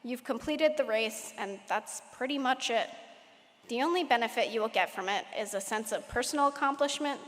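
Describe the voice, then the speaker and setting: A teenage girl giving a prepared valedictorian speech at a graduation, measured and lightly humorous. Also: fairly high pitch, fairly bright tone. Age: late teens. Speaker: valedictorian speaker. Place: large hall at a graduation ceremony. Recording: echoey hall with long reverb.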